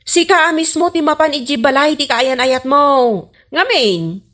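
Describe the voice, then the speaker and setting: A woman's voice: high voice, expressive, unhurried, a bit bright. A woman playing a frank, teasing young snack seller in an Ilocano comedy radio drama, with an Ilocano accent.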